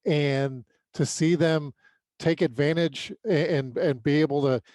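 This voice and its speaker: A man co-hosting a sports podcast, giving conversational, unscripted game recaps and analysis with some halting, repeated words. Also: on the high side, a little mellow, no room sound, studio quality.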